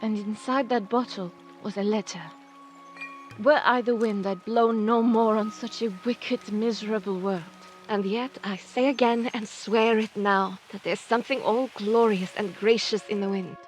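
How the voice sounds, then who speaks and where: A wistful girl from France played by a woman recounts her past in a measured, literary manner. Her mid-range voice carries an animated game's storytelling, with faint music behind it. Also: medium pitch, natural voice. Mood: solemn reciting. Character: wistful French girl telling of her lost friend. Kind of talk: dramatic storytelling in an animated game cutscene.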